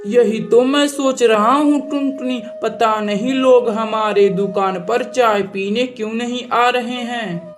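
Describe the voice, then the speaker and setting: A man's voice: extremely high pitch, unhurried. A man voicing a poor father crow in a cartoon, hopeful but anxious. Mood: worried. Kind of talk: Hindi cartoon moral story acting.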